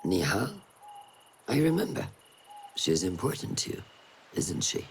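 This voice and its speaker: An actor playing a stern, wise mentor of a hidden assassin order in a video game, speaking gravely, formally and deliberately. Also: male voice, deep voice, sing-song, a little hiss or hum, heavily acted. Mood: firm counsel. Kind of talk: dramatic video game acting.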